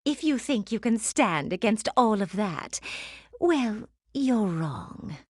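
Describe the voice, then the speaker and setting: A woman voicing a vain, gushing gem queen villain in an animated game, theatrical and condescending. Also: mid-range voice, takes it slowly, dry recording, studio quality. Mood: haughty, menacing.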